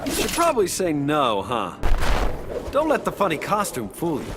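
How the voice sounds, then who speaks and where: Breezy archer hero, highish and lively. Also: male voice, normal speed. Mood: flippant. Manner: flippant, bantering. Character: wisecracking male archer superhero, breezy and self-assured. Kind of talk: video game character dialogue. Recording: very noisy game battle effects behind.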